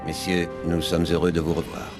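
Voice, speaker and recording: Man acting deep-voiced formal military commander. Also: monotone, moderate pace, lightly acted. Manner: formal, ceremonial. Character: dignified senior male military commander, formal. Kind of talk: French video game dubbing. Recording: echoing hall, faint music.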